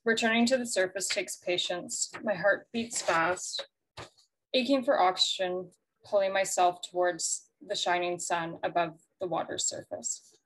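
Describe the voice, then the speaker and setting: Woman artist, measured reading, low-set voice, video call. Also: fairly even intonation, a bit bright, low-quality recording. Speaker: exhibiting artist. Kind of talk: reading a prepared artist statement.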